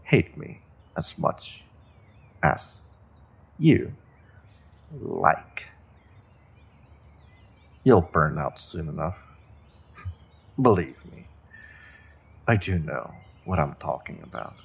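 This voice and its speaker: A man playing a smug, mock-kind evil sorcerer in a fantasy audio roleplay, condescending and quietly threatening to his captive, with a deep, husky, gravelly voice. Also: very animated, very slow, pauses often, heavily acted. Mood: taunting.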